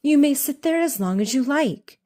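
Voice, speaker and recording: A woman voicing a sly, hospitable gentleman fox in a children's storybook, speaking with polite, genteel formality. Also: high-pitched, expressive, moderate pace, voice pushed up. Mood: smooth, obliging.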